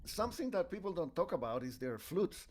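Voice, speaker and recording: A man's voice: sits high, big pitch swings, brisk, a little mellow, a little hiss or hum. An older man from Chile, a flutist, telling stories in an easygoing, rambling way over a video call. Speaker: flutist student. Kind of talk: casual chat on a video call.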